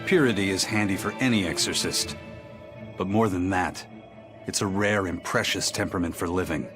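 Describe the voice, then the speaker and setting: Man as a deep-voiced, stoic warrior. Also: normal speed, over music, close to an everyday voice. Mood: solemn. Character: stoic, principled, philosophical male warrior.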